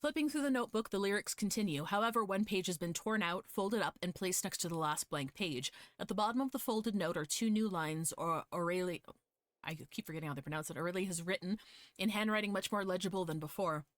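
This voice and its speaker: A woman's voice: medium pitch, quick pace, no room sound. A woman livestreaming a game, reading its text aloud and adding casual, hesitant commentary.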